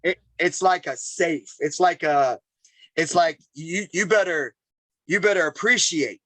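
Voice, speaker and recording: High-voiced animated man, dry sound. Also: measured pace, no room sound. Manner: animated, talks in bursts with repeated phrases. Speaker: chef and podcast guest. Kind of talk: casual storytelling interview.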